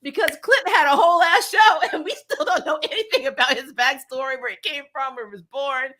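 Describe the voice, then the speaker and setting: A woman's voice: sits high, fairly quick. A woman hosting a casual pop-culture review chat, joking and swearing freely as she talks. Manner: animated joking, swears freely, laughs often. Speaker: host of a review show.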